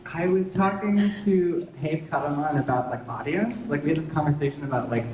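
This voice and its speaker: A man's voice: fairly high pitch, fairly quick, darkish tone. A man moderating a panel, asking a long, rambling question full of the filler "like". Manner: rambling and filler-heavy, saying "like" often. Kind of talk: casual panel conversation. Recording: roomy hall reverb with background noise.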